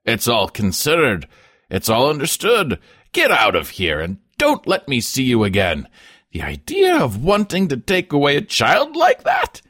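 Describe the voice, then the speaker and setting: A man's voice: mid-range voice, wildly swooping pitch, unhurried, a bit bright, no echo, clean studio sound, voice pushed up, exaggerated voice. A man voicing a furious, indignant peasant mother who exclaims and scolds in a storybook reading.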